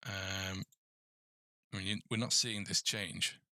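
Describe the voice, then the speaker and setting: A man's voice: low-pitched, steady tone, slow pace, creaky, sharp tone, no room sound, clean studio sound. A man hosting a casual coding tutorial, thinking aloud in a hesitant mutter as he works. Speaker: game development tutorial host.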